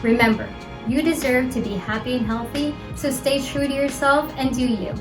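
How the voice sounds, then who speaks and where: A woman's voice: high voice, moderate pace, music in the background. A young Filipino-American woman, a native Tagalog speaker, cheerfully talking viewers through a cooking demonstration step by step. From Philippines. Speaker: cooking channel host.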